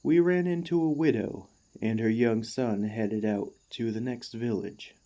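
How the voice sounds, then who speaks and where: This is a devoted warforged ex-gladiator played by a man in an ASMR roleplay. The voice is soft-edged and mellow, and the words come slowly and haltingly. The construct speaks with formal courtesy and earnest, easily stirred feeling. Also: mid-range voice, lightly acted. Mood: earnest, admiring. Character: emotional, excitable warforged ex-gladiator, gentle and devoted.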